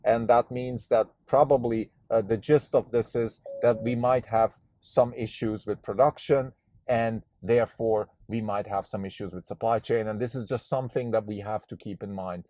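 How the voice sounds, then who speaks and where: Man, born in Switzerland, German native speaker, podcast host, low mellow voice, dry close sound, reflective with restarts. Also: steady tone, moderate pace, no room sound. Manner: thoughtful, hesitant, with fillers and restarts. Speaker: podcast host and fund manager. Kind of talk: solo podcast monologue.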